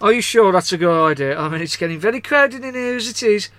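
A man's voice: high-pitched, animated, fast talker, fairly bright tone, dry recording. A man playing a grumpy, sarcastic companion in a comedy audio sketch, sardonic and scolding. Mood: impatient.

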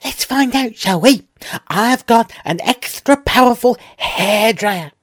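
A woman's voice: on the high side, animated, slow pace. A woman voicing a rude, mischievous kid with a cheeky, taunting manner.

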